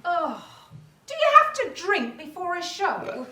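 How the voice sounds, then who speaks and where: Shrill, excitable theatrical diva. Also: female voice, unhurried, heavily acted. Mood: disdainful. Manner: theatrical, effusive declaiming. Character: excitable, haughty, melodramatic theatre actress, easily offended. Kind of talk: stagy radio play acting. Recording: roomy, distant, noisy home-style recording.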